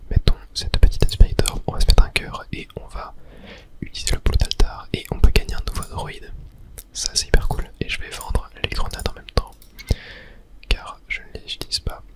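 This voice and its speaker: Whispered ASMR gaming commentary, hushed voice. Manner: hushed whispering, unhurried narration of own play. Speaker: gaming ASMR creator and host. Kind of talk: ASMR whispered video game let's play commentary.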